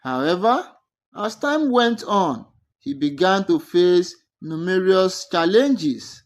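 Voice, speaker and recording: A storyteller narrates a scripted tale at an unhurried pace. The delivery is animated, with the pitch rising and falling freely across each sentence.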